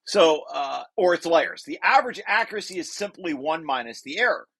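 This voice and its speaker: Bright-voiced man explaining a technical paper. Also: on the high side, animated, fairly quick, breathy edge, no room sound. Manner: explanatory, often breaking off to correct and rephrase. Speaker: author reading and explaining a research paper draft. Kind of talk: read-through lecture with informal asides.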